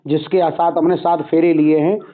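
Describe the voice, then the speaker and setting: A man's voice: a little high, flat delivery, moderate pace. Admonishing man on a tinny phone line. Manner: admonishing, moralizing. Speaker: caller questioning and admonishing the other man. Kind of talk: phone conversation. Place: phone call. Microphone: telephone. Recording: tinny band-limited phone call recording.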